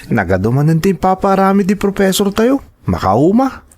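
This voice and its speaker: Animated young Ilocano college guy, acted by a man. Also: high voice, normal speed, exaggerated voice. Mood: grumbling. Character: easygoing male college student, grumbling and teasing. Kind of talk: audio drama acting.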